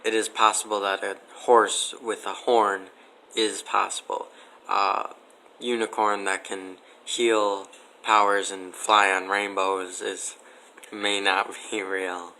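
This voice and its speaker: A man giving casual, matter-of-fact interview answers in a deadpan way. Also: lowish voice, takes it slowly, rough recording.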